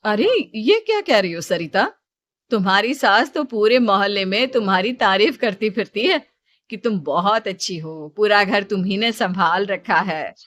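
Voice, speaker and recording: A woman's voice: medium pitch, lively intonation, moderate pace, fairly bright tone, no room sound, lightly acted. A woman voicing a friendly neighbour in a cartoon family drama, polite and chatty.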